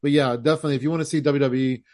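An American man hosting a casual interview, easygoing and quick to agree. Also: medium pitch, steady tone, darkish tone, no room sound, studio quality. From United States. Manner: easygoing, says "hell yeah", laughs along. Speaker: host.

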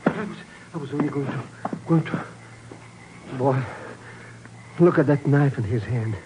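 A man's voice: medium pitch, measured pace, lightly acted. An actor playing a cowardly, slangy comic sidekick man in a 1950s radio drama, nervous and sputtering. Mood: scared. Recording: noisy old radio recording.

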